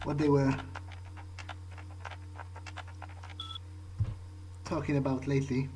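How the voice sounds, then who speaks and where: A man's voice: medium pitch, flat delivery, quick pace, mellow, a little hiss or hum, close to an everyday voice, rough recording. A man who is not a native English speaker, voicing a vampire game character who thinks aloud to himself in a hesitant, muttering way. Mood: hesitant, curious. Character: vampire character musing to himself while sneaking around. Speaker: gamer playing in character.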